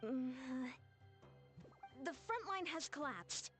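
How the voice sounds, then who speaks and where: High-voiced, earnest young warrior. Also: female voice, takes it slowly, faint music behind, exaggerated voice. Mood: matter-of-fact. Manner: earnest, explanatory, expressive. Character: young, earnest, brisk female warrior trainer. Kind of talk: video game voice acting.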